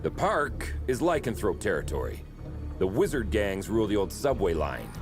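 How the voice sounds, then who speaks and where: Grave enforcer, low voice, expressive, loud music behind, game cutscene. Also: male voice, moderate pace. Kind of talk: video game cutscene acting.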